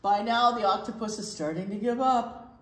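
An American woman in her sixties reads a picture book aloud as a children's storyteller, expressive and explaining things simply. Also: mid-range voice, moderate pace. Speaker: children's storytime reader at a library. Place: library children's room, indoors. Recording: roomy, echoey indoor sound.

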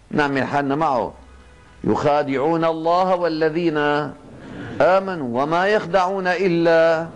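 An older man with a Levantine Arabic accent giving a Ramadan evening sermon in a mosque, speaking in a fatherly way with slow, emphatic repetition. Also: a little high. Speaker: sheikh. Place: mosque, seated at a pulpit desk. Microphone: two stand microphones on the desk.

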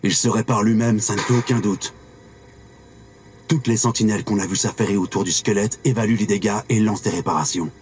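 An actor playing a purposeful supersoldier in a video game, speaking in a matter-of-fact way. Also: male voice, fairly low pitch, brisk, darkish tone, a little hiss or hum, close to an everyday voice. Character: purposeful male supersoldier reporting on duty. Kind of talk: video game character acting.

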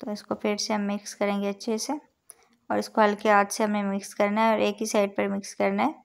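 A woman with an Urdu-influenced accent talks viewers through a recipe as a cooking channel host. Her voice has a gravelly edge and stays very even in pitch. She explains each measure in a calm, patient voice-over. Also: fairly quick. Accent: Urdu-influenced Hindustani. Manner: calm, instructive, counts out measures. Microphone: voice-over over overhead cooking shots.

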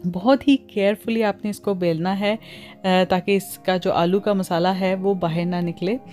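A woman's voice: medium pitch, fairly even intonation, a little slow, a little mellow, soft background music. A woman with a Pakistani accent hosts a cooking tutorial in voice-over, mixing English words and phrases into her talk. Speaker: cooking channel host.

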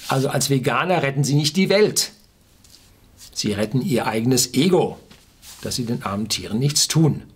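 A man in his sixties from the Ruhr in Germany talks as a video blogger explaining his opinions. His voice is markedly husky and sits at an average pitch. He speaks informally and with emphasis, posing questions and adding clipped asides. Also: animated, normal speed. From Ruhr area, Germany. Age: 60s. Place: small furnished room indoors.

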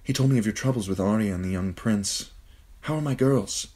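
Anxious noble wife, hushed and soft, a male narrator voicing her, audiobook. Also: lowish voice, brisk, voice pushed up, close to an everyday voice. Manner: hushed, whispering.

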